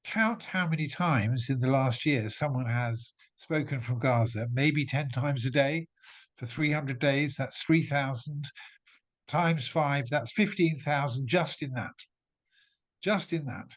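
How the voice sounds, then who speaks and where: A middle-aged man from England addresses an audience with an emphatic, point-by-point argument. His pitch sits in an ordinary middle register, and he often restarts phrases as he presses each point. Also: a little slow. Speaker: guest speaker. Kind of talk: talk to an audience.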